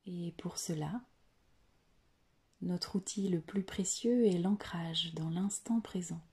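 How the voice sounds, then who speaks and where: A woman leading a guided yoga nidra meditation in a soft, breathy voice that often nears a whisper, soothing and deliberate, with long pauses. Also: fairly low pitch.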